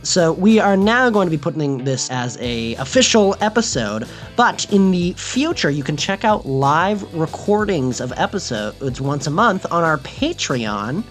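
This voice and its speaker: A man's voice: fairly high pitch, big pitch swings, measured pace, few pauses, soft background music. An American man hosting a podcast in a casual, chatty style. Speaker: podcast host. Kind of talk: casual chat.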